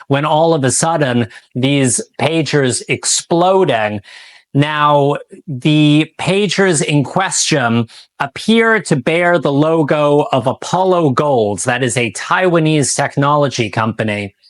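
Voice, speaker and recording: A man's voice: on the high side, dry recording. A man working as a TV news reporter, delivering a broadcast news report.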